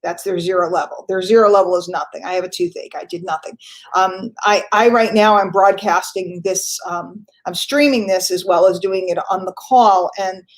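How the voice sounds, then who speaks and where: A woman's voice: medium pitch, steady tone, normal speed. Stuffy-nosed woman giving a pep talk. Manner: earnest, repeats key lines for emphasis; casual, conversational, with fillers and self-corrections. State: stuffy from a cold. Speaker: host. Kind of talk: motivational business talk.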